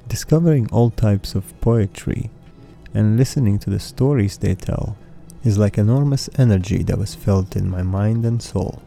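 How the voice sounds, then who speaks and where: A man in his thirties whose first language is Russian hosts a podcast in a low, deeply mellow voice. He recites his own lyrics in an earnest, measured way. Soft music plays faintly underneath. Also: creaky. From Russian-speaking background. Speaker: podcast host. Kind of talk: scripted podcast narration and lyric reading. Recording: faint music bed behind voice.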